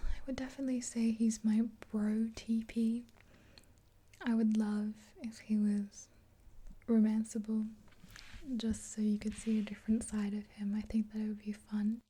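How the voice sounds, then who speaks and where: A woman in her twenties whispering softly and gently in a rambling, casual ASMR roleplay, close to the mic. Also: mid-range voice, monotone, moderate pace. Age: 20s. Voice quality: breathy whisper. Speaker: ASMR roleplayer as makeup artist.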